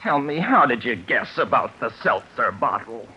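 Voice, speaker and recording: Casting: male actor as prim, apologetic, softly menacing gentleman villain; mid-range voice, old radio thriller, dull hissy recording. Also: medium pitch, big pitch swings, normal speed, close to an everyday voice. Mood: politely menacing. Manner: prim, courteous, hesitant. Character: fussy, polite, soft-mannered murderous male schemer. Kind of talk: old-time radio suspense acting.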